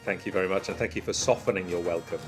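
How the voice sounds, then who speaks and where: A man chatting as a comedy podcast guest, playful and mock-earnest with deadpan lines. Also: sits low, very fast, over music. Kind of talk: playful conversational banter.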